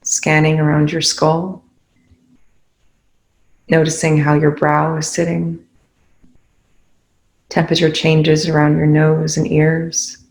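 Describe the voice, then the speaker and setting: Meditation guide, calm and instructive, mid-pitched with noticeable vocal fry, leaving space between phrases. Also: slow, pauses often, rough recording.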